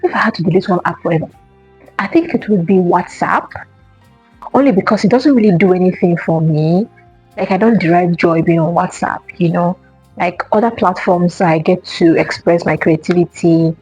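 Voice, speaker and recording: A woman's voice: on the low side, normal speed, darkish tone, husky, dry recording, soft background music, low-quality recording. A young woman vlogger chatting casually to camera, answering questions and repeating herself with lots of fillers.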